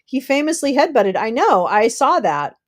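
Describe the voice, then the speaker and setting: A young woman hosting a casual livestream, chatting with viewers, swearing and laughing often, her voice wearing hoarse. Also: sits high, very animated, normal speed. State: losing her voice. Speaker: livestream host. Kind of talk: casual livestream chat reading viewer comments.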